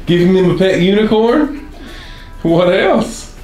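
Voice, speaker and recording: A woman off camera asking children questions in a school room, gently prompting them. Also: mid-range voice, animated, slow, roomy sound, soft background music, low-quality recording. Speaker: teacher interviewing children.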